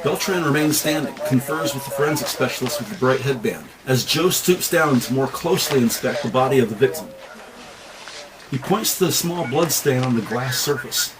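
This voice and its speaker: A man's voice: medium pitch, normal speed, a crowd in the background, low-quality recording. A man narrating a scripted crime audio drama in the present tense.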